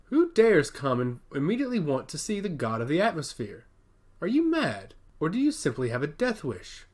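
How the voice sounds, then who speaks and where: A man's voice: a little high, huge pitch swings, moderate pace, a little mellow. A man voicing a disdainful, mocking male temple attendant in a fantasy audiobook. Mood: scornful. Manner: haughty, dismissive.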